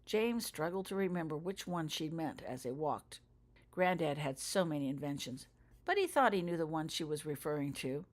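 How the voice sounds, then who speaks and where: A woman's voice: deep voice, lively intonation, normal speed. A woman reading her own fiction aloud as a scripted audiobook narration for younger listeners. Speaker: author reading own story.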